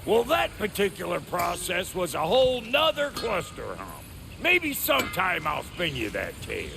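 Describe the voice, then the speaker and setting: An actor playing a gruff, foul-mouthed dwarf blacksmith in a video game, with a gravelly voice, an American accent and a folksy, grumbling way of talking. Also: male voice, high-pitched, huge pitch swings, normal speed, full character voice. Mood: gruff, reminiscing. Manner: folksy, crude, grumbling, colloquial. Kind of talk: video game character acting. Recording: game dialogue over background music.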